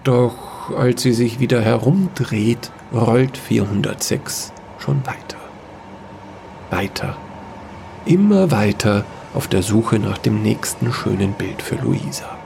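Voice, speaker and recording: A man narrating a sci-fi fairy-tale audio story in a measured storytelling manner. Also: medium pitch, lively intonation, creaky, darkish tone, a little hiss or hum.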